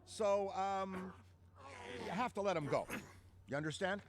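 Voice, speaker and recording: A man's voice: high voice, noisy background. A man acting a mild, earnest, bookish researcher who speaks hesitantly in a video game. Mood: gently pleading. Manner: bookish, polite, halting.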